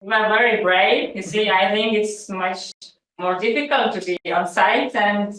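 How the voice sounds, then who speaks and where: A woman's voice: medium pitch, unhurried, a bit bright, distant mic. Woman moderator in an echoey room. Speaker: session moderator. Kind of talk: moderating a conference session.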